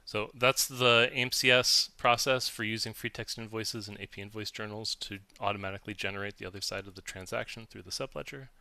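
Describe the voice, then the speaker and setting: Bright, crisp-edged man presenting a software demo. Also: lowish voice, steady tone, brisk, hardly any pauses, no echo. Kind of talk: instructional screen-share walkthrough, semi-scripted.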